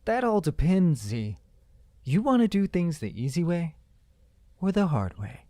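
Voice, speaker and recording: A man's voice: fairly high pitch, big pitch swings, measured pace, warm tone, no echo, studio quality. A man playing a determined mage in an audio drama, speaking politely and theatrically with cool insistence. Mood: coolly threatening. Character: determined male mage hunting a wanted man. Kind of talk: dramatic audio fiction acting.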